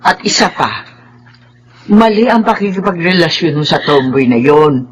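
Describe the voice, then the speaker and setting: An actress in a Filipino radio drama playing a stern, pious older aunt who scolds emphatically but cares. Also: female voice, low voice, moderate pace, breathy edge. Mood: stern, scolding.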